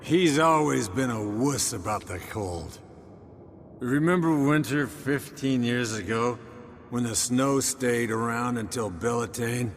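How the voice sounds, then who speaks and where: An actor playing an easygoing, rugged monster hunter in a fantasy game, speaking in clipped, colloquial phrases. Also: male voice, medium pitch, slow, big-room sound, slight background noise. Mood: conciliatory.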